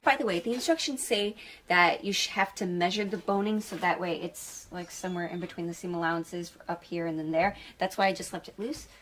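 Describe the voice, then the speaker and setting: A woman's voice: fairly low pitch, a little fast, fairly bright tone, slight background noise. A woman talking casually and informally as she walks through a craft tutorial, like a vlogger. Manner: chatty, informal, mild swearing. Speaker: sewing vlogger. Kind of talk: casual craft tutorial chat with voice-over narration.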